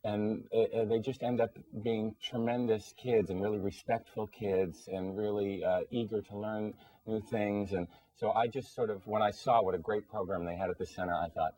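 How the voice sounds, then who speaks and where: A man's voice: fairly low pitch, steady tone, normal speed, hardly any pauses, warm tone, poor audio. A man speaking earnestly and conversationally as a guest answering interview questions.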